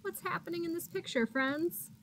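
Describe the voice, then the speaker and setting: A woman teacher reading a picture book aloud to young children, expressively, pausing to put questions to them and gasping for suspense. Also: very high-pitched, slight background noise, rough recording. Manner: expressive, addresses children as friends, gasps for suspense.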